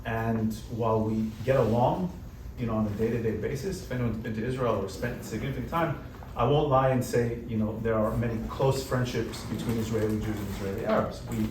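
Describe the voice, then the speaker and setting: A man who grew up in the United States, speaking on a panel in a relaxed, conversational way with lots of "you know" fillers. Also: medium pitch, a little fast, hardly any pauses. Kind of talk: panel discussion. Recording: roomy hall, distant mic, background noise.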